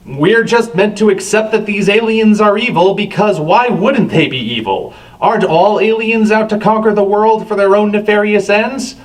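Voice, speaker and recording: A man's voice: high-pitched, normal speed, few pauses. A man hosting a review with scripted commentary and a wry, sardonic manner. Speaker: reviewer, show host. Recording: distant from the microphone.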